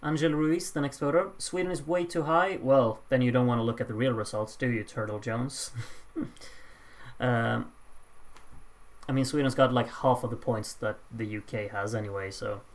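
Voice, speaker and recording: Someone hosting a live points vote with casual commentary, announcing results with drawn-out pauses and slipping in dry, offhand asides. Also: mid-range voice, fairly even intonation. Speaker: host announcing song contest voting results.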